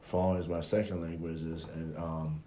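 A man's voice: rumbling low, normal speed, echoey room, slight background noise. A man in his twenties from Benin, whose first language is French, talking casually as a podcast guest and telling stories with plenty of fillers. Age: 20s. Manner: relaxed storytelling with frequent fillers.

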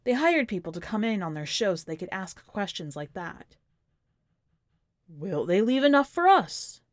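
A woman narrating literary fiction from a script, with measured, deliberate phrasing in short clipped sentences. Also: mid-range voice, big pitch swings, dry recording, studio quality. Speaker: podcast host reading a short story.

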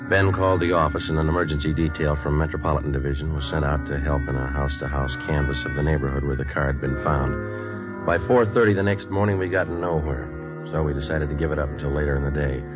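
An actor playing a terse, matter-of-fact police detective in a 1950s radio drama, clipped and deadpan. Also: male voice, rumbling low, quick pace, hardly any pauses. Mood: flat, factual. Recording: dull old radio recording with music.

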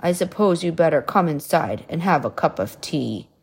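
Woman playing a huge gruff giant, booming, growling, low, gravelly. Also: animated, normal speed, heavily acted. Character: huge grumpy male giant, gruff and bossy.

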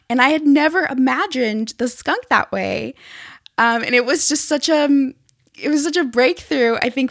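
A woman's voice: fairly high pitch, fairly bright tone, no echo. Woman in a dry, close recording. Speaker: first-time children's book author being interviewed. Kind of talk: conversational interview talk.

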